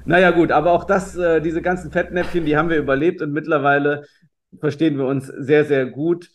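A man from Germany, a podcast guest, answering questions in a relaxed, anecdotal way with many fillers and restarts. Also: a little high, fairly quick, a little hiss or hum. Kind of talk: interview answers, storytelling.

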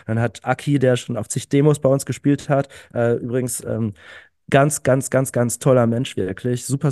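A man in his early thirties speaks as a podcast guest, earnest and enthusiastic, often stretching his sentences with fillers and repeating words for emphasis. His voice sits at a middle pitch. The sound is dry and close to the mic. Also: warm tone, no room sound. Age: 30s. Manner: earnest, enthusiastic, frequent "ähm" fillers and repetitions. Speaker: podcast guest, climate activist. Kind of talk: casual conversational interview.

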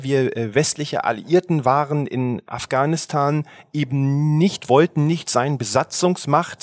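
A middle-aged man, a journalist, retelling events in an animated, conversational way with frequent restarts. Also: medium pitch, dry recording, clean studio sound. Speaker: journalist and podcast guest. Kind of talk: conversational storytelling.

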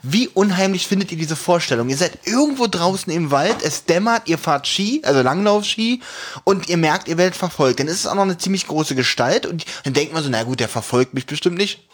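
Man, slightly high pitch, husky, casual podcast chat, animated storytelling. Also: fairly quick. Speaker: podcast co-host. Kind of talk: casual chat retelling and commenting on an audio drama.

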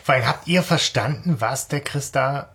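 A man's voice: mid-range voice, moderate pace, fairly bright tone. A man co-hosting a podcast, explaining plot points in casual, colloquial speech. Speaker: podcast host. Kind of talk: casual chat discussing an audio drama.

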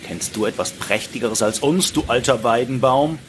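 A man's voice: mid-range voice, normal speed, noisy background, lightly acted. A man voicing a vain, haughty buckwheat plant in a fairy-tale audio play, boastful and scornful.

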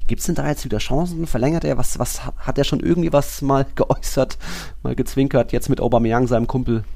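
A man's voice: mid-range voice, steady tone, a little fast, creaky, warm tone. A man co-hosting a football podcast, discussing matches and players in an informal, colloquial, casual style.

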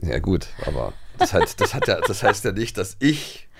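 A man's voice: deep voice, huge pitch swings, moderate pace, gravelly. A middle-aged man chatting casually and humorously as a podcast co-host.

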